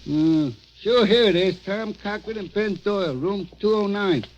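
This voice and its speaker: High-voiced hotel desk clerk. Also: male voice, unhurried, dull audio. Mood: casual, obliging. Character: mild, matter-of-fact small-time hotel desk clerk. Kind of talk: 1940s radio crime drama acting. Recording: noisy vintage 1940s radio recording.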